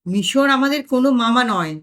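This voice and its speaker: A woman voicing a boastful, know-it-all elder brother in a comic audio story, loud and scolding. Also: on the high side, normal speed, lightly acted. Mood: scoffing, explaining.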